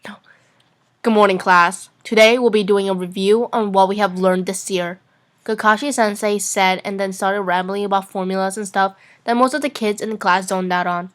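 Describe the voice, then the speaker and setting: A woman's voice: mid-range voice, fairly even intonation, normal speed. A young woman narrating fan fiction as an amateur dramatic reading, playful and sometimes stumbling over her lines.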